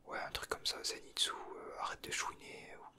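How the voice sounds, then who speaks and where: A man whispering softly in an informal, slangy, rambling ASMR commentary with hesitations. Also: normal speed. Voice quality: soft, unvoiced whisper. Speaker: ASMR video creator, host. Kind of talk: whispered ASMR, casual flip-through commentary.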